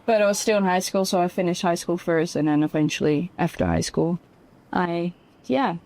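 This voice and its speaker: A woman's voice: fairly low pitch, normal speed, darkish tone. Casual young Dutch woman in conversation. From Netherlands. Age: 20s. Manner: informal, peppered with "like". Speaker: podcast guest, fashion model. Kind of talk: casual interview chat.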